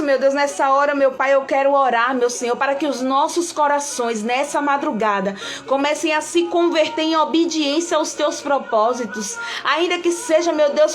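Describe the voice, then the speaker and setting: Brazilian lady preacher, high voice, devotional preaching n prayer, repeats phrases with lots of emphasis. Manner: emphatic, repetitive, punctuated with invocations to God.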